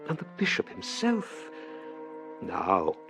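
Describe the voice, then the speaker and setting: An actor playing a gentle, self-doubting elderly English clergyman in a period radio drama, husky and hesitant, musing courteously. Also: deep voice, wildly swooping pitch, slow pace, over music, heavily acted. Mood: musing, uneasy. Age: older.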